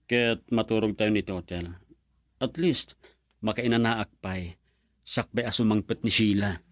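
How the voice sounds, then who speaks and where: A man's voice: lowish voice, measured pace, dry recording, natural voice. A man with an Ilocano Filipino accent acting in a radio drama as a courteous professional, an attentive son and suitor. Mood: businesslike.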